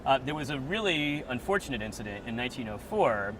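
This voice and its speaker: Male walking-tour host, mid-pitched, casual and explanatory, recorded at a distance with clear traffic noise. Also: sing-song, quick pace.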